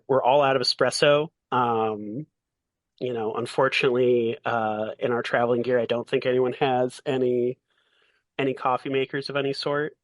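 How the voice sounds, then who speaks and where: A man runs a tabletop role-playing game in a very dry recording with a middling pitch. He improvises as game master, often circling back to rephrase in a halting way. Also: no room sound. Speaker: game master and podcast host.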